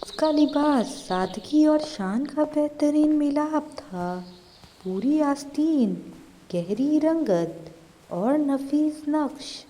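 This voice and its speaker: A woman's voice: high voice, lively intonation, takes it slowly, dark tone. A woman narrating a scripted fairy tale as a storyteller.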